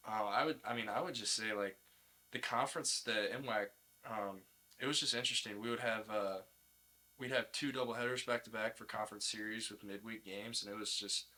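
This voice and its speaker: A young man with a slightly low, clearly bright voice. He talks like a college athlete in a casual interview. His phrasing is informal and easygoing. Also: fairly even intonation, a little fast, rough recording. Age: young adult. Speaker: college baseball player, podcast guest.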